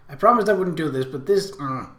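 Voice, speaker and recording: Male let's play host, lively narration with asides, slightly higher voice, roomy sound. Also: moderate pace. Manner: expressive, animated. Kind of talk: reading a visual novel aloud with asides. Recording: roomy echo.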